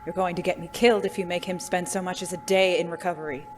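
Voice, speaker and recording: An actor playing a tense, protective gentleman on the run in an audio drama, speaking in an urgent, articulate way over music. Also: medium pitch, flat delivery, quick pace, close to an everyday voice. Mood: urgent, indignant. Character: tense, protective gentleman fugitive holding a gun.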